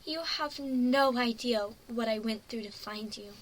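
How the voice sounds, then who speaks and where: A man's voice: very high-pitched, a little slow, a bit bright, voice pushed up, low-quality recording. A man voicing a young female unicorn pony, plaintive and hesitant, in an amateur dramatic story reading. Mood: timid, questioning.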